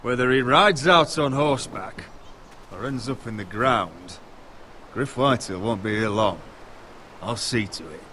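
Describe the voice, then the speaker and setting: An actor playing a defiant, resolute young lord in a video game drama, speaking firmly and with command. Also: male voice, medium pitch, expressive, measured pace, pauses often, sharp tone. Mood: grim, determined. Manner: firm, commanding. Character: defiant, resolute young male lord defending his house. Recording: faint background noise.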